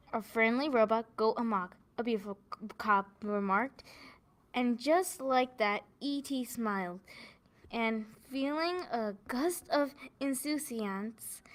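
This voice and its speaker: A woman reading a scripted newsreel story in a measured, deliberate way. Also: on the high side.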